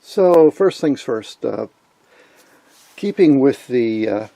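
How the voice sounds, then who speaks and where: A man with a gravelly, creaky voice narrates informally as the host of a hobby channel. He sounds keen on his subject and drops in wry asides. The sound is dry, with no room echo, and his pitch sits in an average male range. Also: lively intonation, slow, dark tone. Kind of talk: informal narration on vintage radio gear.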